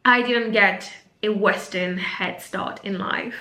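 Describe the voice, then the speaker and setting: Woman, Mauritian accent, Creole native speaker; reflective unscripted vlog, bright voice, roomy sound. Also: medium pitch, fairly even intonation, takes it slowly. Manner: reflective, earnest, frequent fillers and restarts. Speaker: career coach and vlogger. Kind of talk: unscripted talking-to-camera vlog. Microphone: camera mic.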